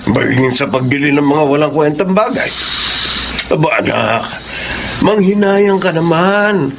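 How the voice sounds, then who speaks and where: A stern father in a radio play who lectures his son about wasting money. His voice is slightly high, with clear background noise behind it. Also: lively intonation, unhurried. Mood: lecturing. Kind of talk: radio drama acting.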